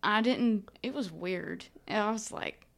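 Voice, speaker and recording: A woman's voice: mid-range voice, measured pace, fairly bright tone. A young woman co-hosting a casual podcast chat, laughing often as she talks. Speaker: podcast co-host.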